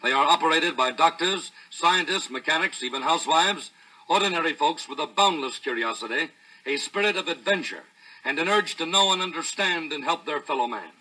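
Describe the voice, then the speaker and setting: A man's voice: on the high side, animated, a little fast, pushed and bright, poor audio. An American man narrating a scripted 1950s promotional record in an earnest, dramatic, formal announcer's manner.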